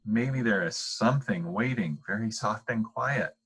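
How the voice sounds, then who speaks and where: A man's voice: on the low side, measured pace, a bit bright, breathy edge, heavily acted. A man reading the part of an anxious, imaginative little girl in a bedtime storybook, worried and inquisitive.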